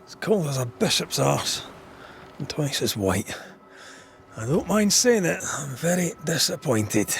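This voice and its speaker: An actor playing a grumbling, loyal young Scottish ghost hunter in a video game, with a dry, sardonic manner. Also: male voice, fairly high pitch, very animated, measured pace, creaky, slight background noise, heavily acted. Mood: wry, grumbling. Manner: dry, sardonic, colourful complaining. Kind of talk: video game dramatic dialogue.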